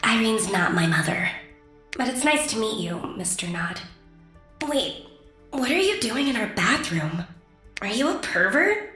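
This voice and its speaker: A woman's voice: mid-range voice, very animated, measured pace, long pauses, pushed and bright. A woman voicing an innocent, blunt young girl in a game, with a childlike, plaintive delivery. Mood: shy, puzzled. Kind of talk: visual novel game character acting. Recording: roomy echo, music underneath.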